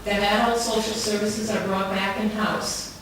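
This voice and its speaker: A woman speaking earnestly and emphatically in a measured way, addressing a council committee as a campaigner in a public meeting. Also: medium pitch, flat delivery, a bit bright. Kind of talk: prepared public speech and answers to questions. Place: large town hall meeting room. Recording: very echoey hall, distant mic, clear background noise.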